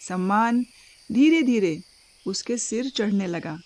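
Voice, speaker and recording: A woman narrating a scripted moral story for a cartoon, with dramatic, deliberate phrasing and pauses. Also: mid-range voice, animated.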